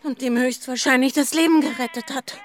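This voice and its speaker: A woman's voice: high voice, steady tone, fairly quick, background noise, lightly acted. An actress playing a fervent, indignant woman spinning tall tales in a German radio drama, with emphatic delivery. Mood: excited, proud.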